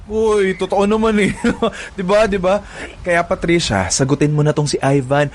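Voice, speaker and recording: A man's voice: sits high, fairly even intonation, moderate pace, natural voice. An actor playing a playful young man, a jokey, teasing buddy, in a radio drama.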